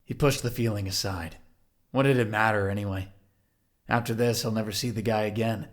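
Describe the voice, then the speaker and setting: A man's voice: fairly low pitch, steady tone, brisk. A man narrating an audio drama from a script.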